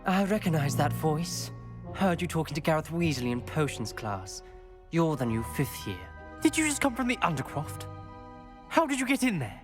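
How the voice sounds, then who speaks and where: A wary young wizard speaks in curt, suspicious tones. His voice rises and drops sharply as he warns a newcomer off. Soft music sits faintly behind the game cutscene. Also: on the high side, big pitch swings, normal speed. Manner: clipped, suspicious, threatening. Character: cold, guarded teenage wizard schoolboy.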